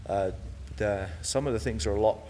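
Easygoing lower-voiced man, rambling answers. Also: moderate pace, darkish tone, in a large hall, a little hiss or hum. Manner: easygoing, rambling, many restarts. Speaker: church cofounder. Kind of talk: casual interview answers. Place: inside a large painted chapel.

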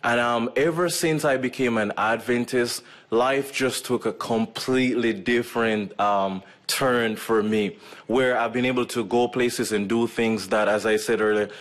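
A Jamaican man in his thirties, a medical missionary, giving a relaxed personal testimony to a church audience from a lectern in an auditorium, with many ums and uhs. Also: medium pitch, unhurried. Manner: relaxed storytelling with frequent fillers. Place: auditorium stage with curtain backdrop. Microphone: stand mic at a lectern.

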